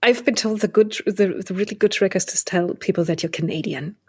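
A woman's voice: medium pitch, brisk, slightly rough. A woman hosting a casual chat who speaks hesitantly, with fillers and restarts.

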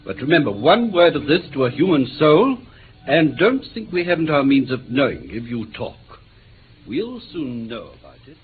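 An actor playing a menacing villain in a radio play, falsely polite and threatening. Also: male voice, medium pitch, big pitch swings, moderate pace, lightly acted. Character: smooth-talking, menacing male villain. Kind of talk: radio drama acting. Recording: tinny old radio recording, hiss.

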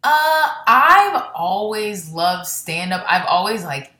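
A young woman stand-up comedian from Florida, chatting casually and rambling with quick self-deprecating asides. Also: mid-range voice, big pitch swings, pushed and bright, reverberant. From Florida, born in Baltimore. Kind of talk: casual interview chat.

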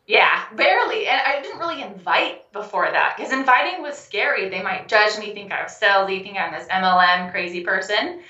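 A young adult woman, a health coach and guest, telling her story in a casual interview, animated and laughing often. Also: medium pitch, moderate pace, few pauses, echoey room, muffled recording. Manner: animated, laughs often, acts out quoted phone calls.

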